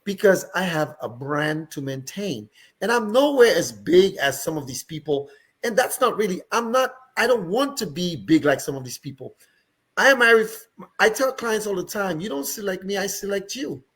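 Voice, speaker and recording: A man's voice: high voice, big pitch swings, moderate pace, a bit bright, slightly rough. A man from Dominica with a Dominican accent worn by years abroad, a panel guest speaking confidently and emphatically with joking asides. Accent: Dominican, mixed with years abroad. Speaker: guest, licensed professional. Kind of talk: panel discussion.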